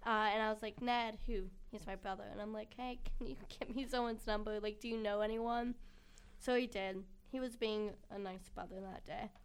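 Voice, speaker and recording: A woman's voice: mid-range voice, fairly even intonation, normal speed, smooth. A young woman hosting a casual student interview, chatty and teasing, with plenty of likes and ums. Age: young adult. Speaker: student podcast host.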